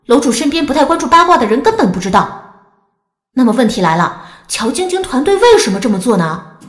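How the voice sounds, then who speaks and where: A woman acts out a chatty young forum fangirl in an audio drama, playful and very high. Her lines swing up and down in an echoey space over music. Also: fairly quick. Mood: curious, speculative. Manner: playful, expressive reading. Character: chatty young female fan posting on a gossip forum. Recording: echoey room effect with music.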